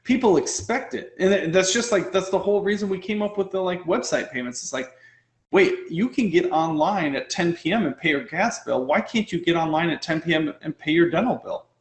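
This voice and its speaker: A man, a dentist and company founder, speaking as an interview guest in an animated way, piling up rhetorical questions. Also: on the high side, brisk. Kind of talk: casual interview conversation. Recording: roomy echo.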